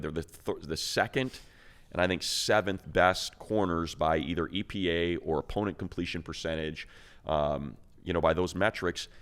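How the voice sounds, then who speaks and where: A man's voice: low-pitched, a bit bright. A man giving casual, conversational football analysis on a sports talk show, explaining things in slangy language.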